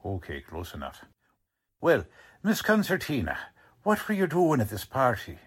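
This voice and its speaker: A man's voice: mid-range voice, huge pitch swings, moderate pace, husky. A man playing a blunt, sarcastic police lieutenant in the style of a 1940s radio drama. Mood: sarcastic, questioning. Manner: blunt, mocking, slangy.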